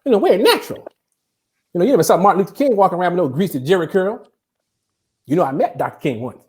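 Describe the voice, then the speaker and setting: An actor playing a cranky, boastful old barbershop storyteller in a comedy table read, telling tall tales in a folksy, animated way. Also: male voice, high-pitched, fast, pitched above the performer's own voice. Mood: teasing, scolding.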